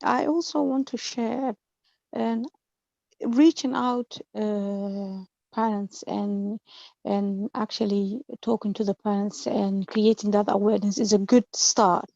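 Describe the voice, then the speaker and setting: A woman joins an online community meeting and speaks in a measured, hesitant way. Her voice is warm and mellow. The sound is dry and muffled, like a lo-fi call. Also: medium pitch, fairly even intonation, gravelly, dry recording. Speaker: participant in a community discussion. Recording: dull dry lo-fi line.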